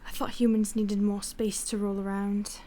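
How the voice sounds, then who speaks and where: A woman voicing a possessive female vampire stalker in an audio roleplay, whispering breathily with a slow, taunting, condescending manner. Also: medium pitch, level tone, mellow, lightly acted. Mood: teasing.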